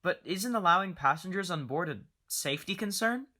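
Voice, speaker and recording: A woman's voice: on the low side, expressive, brisk, sharp tone. A woman in an amateur audio drama playing a skeptical young passenger with doubting, teasing questions.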